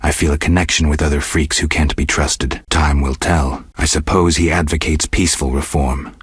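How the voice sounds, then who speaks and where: Game lead: cynical monster-hunting mutant man, rock-bottom pitch, very gravelly, deadpan and curt. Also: normal speed, no echo, exaggerated voice. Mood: dry, sardonic. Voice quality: gravelly, husky. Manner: terse, deadpan, dry. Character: gruff, cynical mutant monster hunter, adult man, coolly sardonic. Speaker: video game protagonist. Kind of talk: video game character dialogue.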